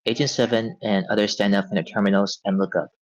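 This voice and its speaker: A man's voice: fairly low pitch, steady tone, fast talker. A man reading stage directions aloud as the narrator of a script table read. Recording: dull, band-limited audio.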